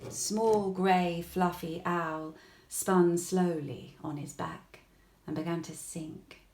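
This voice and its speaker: A woman reading a children's bedtime story aloud in an expressive, gentle way, with deliberate pauses. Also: lowish voice. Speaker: storybook reader. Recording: echoey room.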